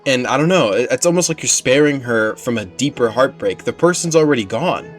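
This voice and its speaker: A man's voice: mid-range voice, animated, brisk, over music. A man giving casual gameplay commentary as a streamer, slangy and emphatic, often repeating himself for weight. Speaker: game streamer.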